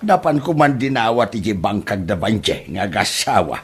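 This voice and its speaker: A man's voice: fairly low pitch, sing-song, moderate pace, breathy edge, exaggerated voice. A man with an Ilocano accent playing a chatty older village uncle in a comedy drama. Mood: chatty, joking. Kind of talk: comedy radio-style drama acting.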